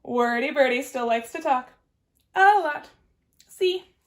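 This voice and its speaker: Female read-aloud teacher: high, lively, expressive, addresses children directly with questions. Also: slow. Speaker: teacher reading a picture book aloud.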